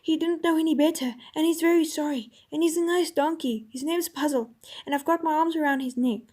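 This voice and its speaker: A woman's voice: sits high, fairly quick, a little mellow, voice pushed up, natural voice. A woman voicing a brave young girl who talks eagerly and pleads earnestly. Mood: pleading.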